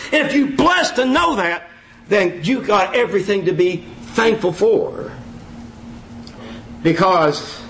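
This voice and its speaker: Folksy, high-voiced preacher man. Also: a little hiss or hum. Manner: folksy, self-mocking, repeats phrases, loud and exclaiming. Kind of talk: informal sermon. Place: church.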